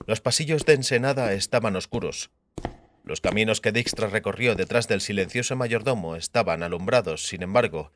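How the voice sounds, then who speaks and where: Low-voiced man narrating a fantasy audiobook. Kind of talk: scripted narration of a fantasy novel.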